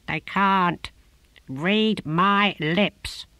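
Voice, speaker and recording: A man's voice: high voice, extremely slow, sharp tone, dry recording, voice pushed up, heavily acted. A man voicing an imperious old tortoise who claims to be a god and barks commands and curses. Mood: imperious, furious.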